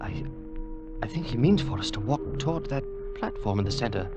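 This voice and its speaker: An actor playing a jumpy, blunt younger adventurer in excitable 1940s radio style. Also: male voice, mid-range voice, a little fast, loud music behind the voice, lightly acted. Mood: wary. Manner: plain-spoken, excitable. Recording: noisy vintage radio recording.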